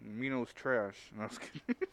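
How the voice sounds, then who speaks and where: A man co-hosting a casual group chat, talking in a rambling, halting way. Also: medium pitch, very animated, a little hiss or hum. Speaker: podcast co-host.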